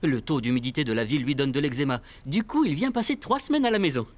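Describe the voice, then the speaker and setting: An actor voicing an enthusiastic, easily delighted father in an animated cartoon, expressive, with music behind. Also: medium pitch, fast, natural voice. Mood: explaining.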